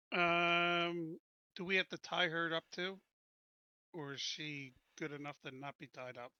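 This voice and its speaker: A man's voice: fairly high pitch, pushed and bright, clean tone, dry recording, highs cut off. A man talking casually as a player in a tabletop roleplaying game, thinking through plans with the group. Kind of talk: casual in-game discussion and planning.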